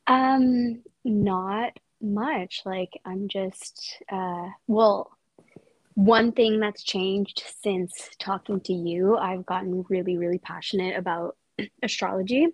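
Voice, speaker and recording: A woman guest on a podcast who has a cold, speaking softly and casually with a husky, gravelly voice. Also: mid-range voice, steady tone, no room sound, low-quality recording. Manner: slow, soft-spoken, trailing off.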